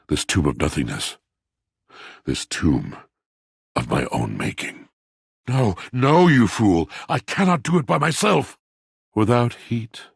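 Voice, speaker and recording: A very low, rough-edged male game character, a lovelorn lover of poetry. He speaks in grand, florid swells with theatrical pauses. The sound is close and dry. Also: dry recording, exaggerated voice. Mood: anguished, despairing. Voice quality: deep, gravelly. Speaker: voice actor in a video game.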